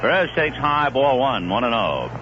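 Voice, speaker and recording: A man calling a baseball game live on the radio, swinging from calm analysis between pitches to rising excitement on big plays, in a 1970s broadcast. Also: normal speed, highs cut off, background noise. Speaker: play-by-play announcer. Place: stadium broadcast booth.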